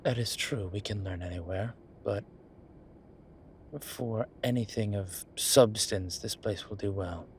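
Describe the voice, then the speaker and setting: A man playing a wry, laid-back incubus demon professor in a roleplay audio drama, talking with breathy pauses and trailing sentences. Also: on the low side, slight background noise, close to an everyday voice. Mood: content, reflective. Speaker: voice actor. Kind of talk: roleplay audio drama, one side of a conversation.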